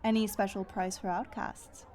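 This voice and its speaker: A woman playing a brisk, wry, guarded young outcast guide in a fantasy audio drama. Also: medium pitch, flat delivery, darkish tone, lightly acted. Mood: wry banter. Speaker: voice actor. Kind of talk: fantasy audio roleplay acting.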